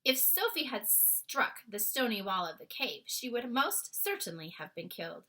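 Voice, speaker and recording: A woman reading a children's story aloud as expressive scripted narration. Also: on the high side, moderate pace, husky. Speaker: storybook reader.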